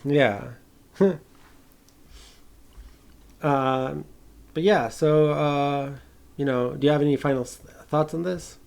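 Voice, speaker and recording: A man co-hosting a film podcast, talking casually and haltingly, with some informal swearing. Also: fairly high pitch, gravelly, a little mellow.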